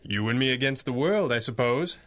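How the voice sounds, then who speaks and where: An actor playing a commanding masked hero in a 1930s-style radio drama, speaking in a formal, dryly wry manner. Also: male voice, medium pitch, animated, a little fast, a bit bright, natural voice. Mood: wry resolve.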